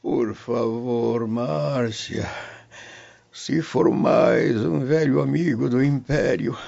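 A frail old Roman father, tender and emotional. His voice is creaky and trembling, dragging very slowly through each phrase. It is a dull-sounding radio drama with faint music behind. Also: mid-range voice, fairly even intonation, a little mellow, full character voice. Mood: weary. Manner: slow, halting, emotional delivery.

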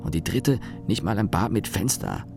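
Casting: jaded engineer, low gravelly voice, dry radio-play inner monologue in fragments. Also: male voice, very animated, normal speed, over music. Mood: aggrieved, dry. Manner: clipped fragmentary sentences, sardonic. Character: weary, cynical male office engineer, brooding inner monologue.

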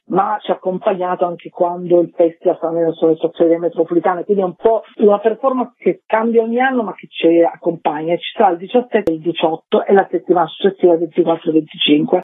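A woman's voice: lowish voice, slightly rough, no room sound. A woman, a festival director explaining a programme as a radio interview guest. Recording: narrow-band radio phone line.

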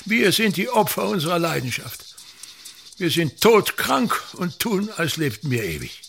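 An aging touring actor who bullies his family in a radio drama. His voice sits fairly high and crackles with gravel. He declaims, repeats himself and wallows in grand self-pity, full of scorn. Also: sing-song, measured pace, fairly bright tone, full character voice. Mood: self-pitying, irritable. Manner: declamatory, repetitive, contemptuous grumbling. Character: pompous, self-pitying old touring actor and tyrannical father. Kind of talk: theatrical radio drama monologue.

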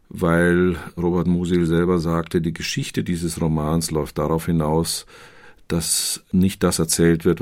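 Deep voiced guy, literature expert explaining a novel. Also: a little mellow.

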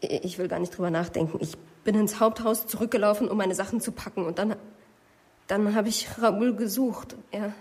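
A shaken young witness in a radio drama played by a woman, her voice husky and of medium pitch. She speaks haltingly, hurt and hesitant, catching on words and starting them again. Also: a little mellow, close to an everyday voice. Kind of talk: German radio drama acting.

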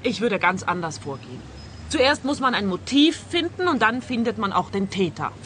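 An actress playing an eager, naive and cheeky young intern in a comic radio play. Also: female voice, mid-range voice, sing-song, normal speed, close to an everyday voice.

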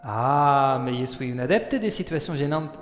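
A man's voice: medium pitch, lively intonation, moderate pace, close to an everyday voice. A man playing a cultured, gallant music scholar in a period French audio drama, speaking with formal, refined courtesy. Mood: teasing, courteous. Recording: echoey hall reverb, music behind.

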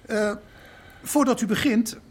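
Fussy, hesitant high-voiced civil servant. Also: male voice, full character voice. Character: nervous, officious, hesitant male civil servant. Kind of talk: naturalistic audio drama acting.

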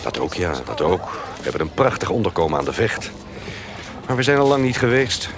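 Gruff roguish trader, really deep but lively, radio play, noisy ambience behind. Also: male voice, a little fast. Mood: candid. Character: gruff, devoted male companion, roguish trader. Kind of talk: Dutch radio drama acting. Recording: clear background ambience noise.

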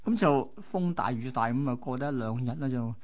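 Mid-pitched Hong Kong man telling stories. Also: lively intonation, a little fast, lo-fi sound. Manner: explanatory, colloquial, piecemeal phrasing. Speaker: radio co-host. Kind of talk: conversational storytelling.

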